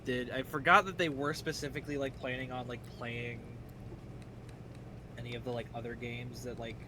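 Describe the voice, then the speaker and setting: Male game streamer, faint Midwestern American accent, mid-range voice, loose rambling casual chat over clear background noise. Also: mid-range voice, level tone, normal speed. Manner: rambling, self-interrupting.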